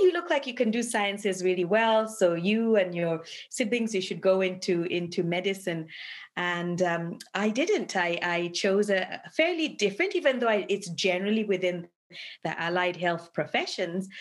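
A woman in her fifties from Tanzania, a psychologist answering interview questions thoughtfully, with many pauses and restarts. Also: fairly low pitch. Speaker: guest psychologist.

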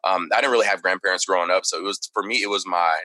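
Low, bright-toned chatty young man. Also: fairly even intonation, racing, no echo. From Texas. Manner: chatty, lots of "like" and "you know". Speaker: podcast guest. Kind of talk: casual conversation.

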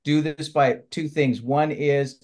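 A man's voice: fairly high pitch, fairly even intonation, slow, darkish tone. A man hosting a webinar, speaking conversationally as he makes announcements to the participants. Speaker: webinar host. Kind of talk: conversational announcements, closing a session.

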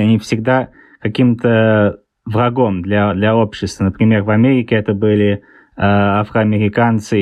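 A man in his thirties with an English-tinged Russian accent, a guest author answering interview questions in a halting way, often pausing to search for words. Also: on the low side, a little mellow, no room sound. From born in Russia, raised in England. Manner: hesitant, searches for words, many fillers.

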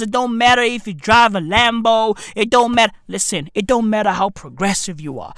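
A comedian from Africa, delivering an emphatic, repetitive rant to camera, heavy with swearing and dropping at times to a whisper. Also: male voice, sits high, pushed and bright, clean tone, no echo, clean studio sound. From South Africa. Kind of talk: ranting monologue to camera.

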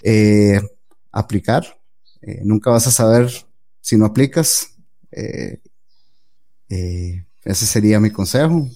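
A man talking as an interview guest, giving sincere advice. He hesitates often and fills his pauses with "eh." His voice is warm and mellow. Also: fairly low pitch. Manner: hesitant, many "eh" fillers, earnest advice. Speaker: guest developer. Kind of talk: casual interview answers.

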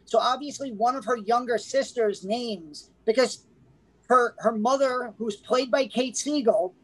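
A man's voice: very high voice, unhurried, breathy edge, poor audio. A man co-hosting a casual film review podcast, rambling with many restarts and fillers. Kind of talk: casual chat.

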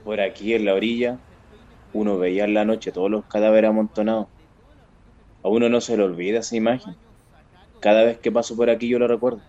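A man's voice: on the low side, fairly even intonation, fairly quick, pauses often, warm tone, close to an everyday voice. A man acting a haunted, kindly Chilean truck driver in a naturalistic radio drama, plain-spoken, on a muffled recording. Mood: haunted, sombre. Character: haunted, kindly working-class male truck driver, protective.

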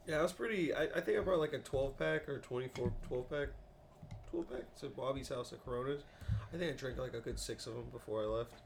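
Fast-talking jokey man, off mic. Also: mid-range voice, gravelly, a little hiss or hum. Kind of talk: casual podcast chat.

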